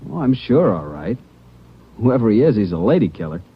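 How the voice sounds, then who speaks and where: A man playing a chatty, nervous diner counterman in a 1940s radio drama, rambling in a husky voice. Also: lowish voice, very animated, fairly quick, a little hiss or hum. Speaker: donut shop counterman. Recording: dull old radio broadcast.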